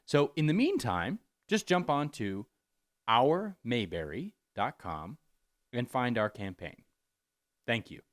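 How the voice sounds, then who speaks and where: A man's voice: mid-range voice, sing-song, slow pace. A man giving an informal promotional announcement, explaining step by step and repeating himself. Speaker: campaign spokesperson.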